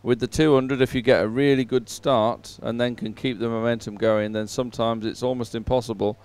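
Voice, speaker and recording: A man giving unhurried live sports commentary, filling time between races in halting phrases with frequent pauses. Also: mid-range voice, fairly even intonation, a little mellow, clean tone.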